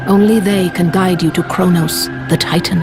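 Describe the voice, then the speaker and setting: A woman playing a solemn goddess who guides a hero in a video game, grave and measured. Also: lowish voice, steady tone, lightly acted. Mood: solemn, commanding. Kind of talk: video game cutscene acting. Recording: music behind the voice.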